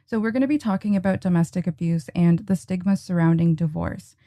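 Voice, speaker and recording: A woman hosting a podcast, introducing her guest gently and asking questions. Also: fairly low pitch, fairly quick, dry recording, clean studio sound. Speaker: podcast host. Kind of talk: podcast interview.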